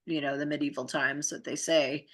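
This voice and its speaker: A middle-aged woman co-hosting a spiritual talk show, explaining in a casual, halting, searching way. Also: low voice, level tone, a bit bright. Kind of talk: casual conversational chat.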